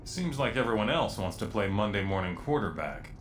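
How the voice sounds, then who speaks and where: An actor playing a confident, wry armored superhero team leader in a video game, brisk and matter-of-fact. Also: male voice, on the low side, sing-song, a little hiss or hum. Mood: wry, serious. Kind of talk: video game cutscene acting and briefing.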